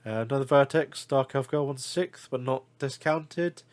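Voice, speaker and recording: A man's voice: medium pitch, normal speed. A man from the UK with a blocked nose, chatting casually about collectible figure deals, rambling with many fillers and self-corrections. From United Kingdom. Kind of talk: casual screen-share commentary.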